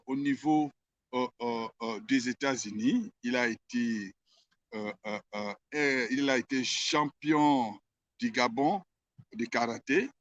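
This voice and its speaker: A man hosting an interview at a radio station, talking hesitantly with many drawn-out fillers. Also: medium pitch, a bit bright, no room sound. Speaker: interviewer host. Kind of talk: broadcast interview introduction. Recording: dull lo-fi sound.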